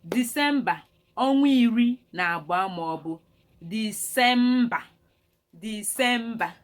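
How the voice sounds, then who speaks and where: A woman's voice: on the high side, expressive. Nigerian Igbo woman teaching vocabulary. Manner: deliberate, repeats terms for learners. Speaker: language teacher. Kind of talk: instructional lesson with repeated vocabulary.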